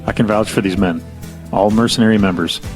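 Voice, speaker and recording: An easygoing, sociable gunnery sergeant, acted by a man in an audio drama. His voice is low and assured, with a friendly manner. Music plays clearly behind him. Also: fairly even intonation, fairly quick, close to an everyday voice, poor audio.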